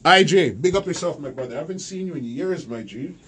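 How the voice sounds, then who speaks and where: A man's voice: a little high, brisk. Guy in his 40s hosting a live stream from home, voice swings all over, bit of room hiss, close webcam mic. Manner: animated, hypes the audience with shout-outs. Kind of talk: casual live stream chat. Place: small room at home, blue curtain behind. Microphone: phone or webcam at close range.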